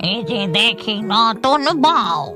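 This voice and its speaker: A woman voicing a terrifying vengeful cartoon witch, creaky and menacing, gloating as she drags out her words. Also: fairly high pitch, expressive. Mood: gloating menace. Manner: slow, drawn-out gloating. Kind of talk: cartoon story voice acting. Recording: music behind the voice.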